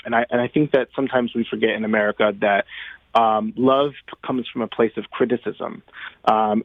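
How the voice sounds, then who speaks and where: Halting man on a phone-like line. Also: fairly low pitch, no echo. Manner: thoughtful, halting, searching for words. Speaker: interview guest, playwright. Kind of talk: conversational radio interview.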